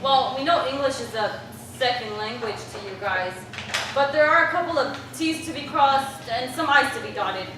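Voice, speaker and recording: A woman plays an officious, dismissive school official on stage. The voice is high, the manner curt and condescending. The room is very echoey and noisy, the mic far away. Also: moderate pace, close to an everyday voice. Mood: condescending, indignant. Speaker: stage reader in a documentary play.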